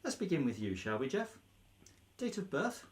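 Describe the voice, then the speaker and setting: A male actor playing a breezy, nosy, officious vicar in a radio comedy, briskly polite and openly biased. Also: fairly high pitch, big pitch swings. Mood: polite, businesslike. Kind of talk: radio play comedy acting.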